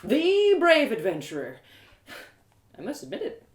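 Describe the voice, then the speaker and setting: Female storyteller: theatrical first-person fantasy narration, highly varied intonation, noticeable room echo. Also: wildly swooping pitch, measured pace. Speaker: storyteller and audio drama performer.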